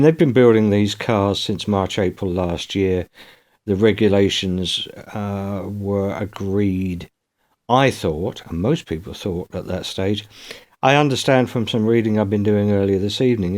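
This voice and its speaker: A man speaks over a video call for a motorsport podcast. His voice sits on the low side. He talks in a measured, informative way. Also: a little mellow. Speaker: podcast co-host. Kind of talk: casual motorsport chat.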